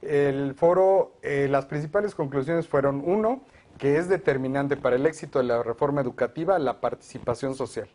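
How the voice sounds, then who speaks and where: A man, a guest advocate for education, explaining proposals in a measured way on a televised discussion. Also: mid-range voice, a little mellow.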